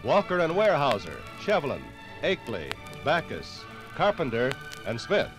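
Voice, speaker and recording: Male American narrator, vintage 1950s radio history program. Measured, formal scripted read, slightly high register. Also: wildly swooping pitch, music in the background, low-quality recording.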